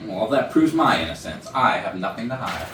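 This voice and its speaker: A man's voice: fairly low pitch, big pitch swings, a little fast, background noise. Man acting defensive office executive, roomy distant recording. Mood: defensive, indignant. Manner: defensive, indignant. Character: defensive, smug male corporate IT executive in murder mystery. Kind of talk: staged reading of a comedy play. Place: echoey rehearsal room.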